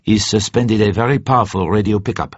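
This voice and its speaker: A man reading an audiobook in character as a jovial, theatrical French secret agent, animated and conspiratorial, savouring his words. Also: lowish voice, a little fast, slightly rough, dry recording, muffled recording. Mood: earnest, confiding.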